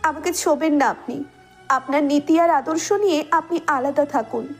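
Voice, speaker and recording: A woman acts as a wounded young wife in a romantic audio drama, with a high-pitched voice. She is emotional and reproachful, proud yet full of love, and music plays behind her. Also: moderate pace, lightly acted. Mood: hurt, sulking. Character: hurt, tearful young wife, proud but loving. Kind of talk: romantic audio story dialogue.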